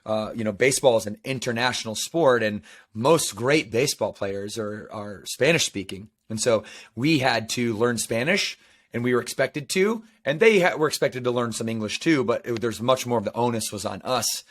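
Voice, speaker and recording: A man's voice: mid-range voice, moderate pace, fairly bright tone. An American man hosting a casual interview, enthusiastic and talkative, asking long rambling questions. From United States. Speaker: podcast host.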